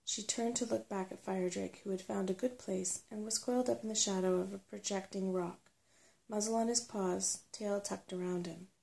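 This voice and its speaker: A woman's voice: mid-range voice, steady tone, moderate pace, gravelly, mellow. A woman reading a children's fantasy novel aloud as ASMR storybook narration. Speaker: storybook reader.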